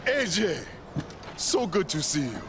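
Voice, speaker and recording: An actor playing a zealous, guilt-ridden preacher in a video game, theatrical and sermonizing. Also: male voice, sits high, sing-song, a little slow, heavy background noise. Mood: grateful, solemn. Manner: theatrical, sermonizing, quoting scripture. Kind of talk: video game cutscene acting.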